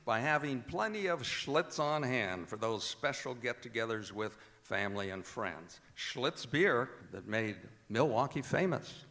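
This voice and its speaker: A man's voice: mid-range voice, lively intonation, measured pace, hardly any pauses, pushed and bright. A man with an American accent announcing in the style of an old-time radio show, reading out credits and sponsor messages. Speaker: announcer and director of a radio theater. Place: performing arts center stage. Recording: echoey hall, long reverb, music bleeding in. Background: music.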